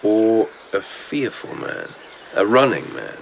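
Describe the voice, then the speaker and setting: A man's voice: fairly low pitch, big pitch swings, slow pace, creaky, a little hiss or hum, exaggerated voice. A man in a radio drama playing an earnest, methodical lieutenant who investigates by thinking aloud intently. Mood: intent, speculating.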